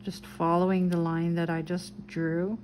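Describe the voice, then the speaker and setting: A woman with a light Dutch accent, calmly talking through each step as she draws and colors a mandala. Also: on the low side, measured pace, a little hiss or hum. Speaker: craft video host. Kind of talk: relaxed craft tutorial narration.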